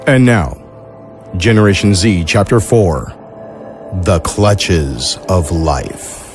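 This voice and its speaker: A man's voice: low voice, lively intonation, slow pace. A man hosting an audio fiction show, reading a scripted introduction over music. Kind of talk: scripted narration.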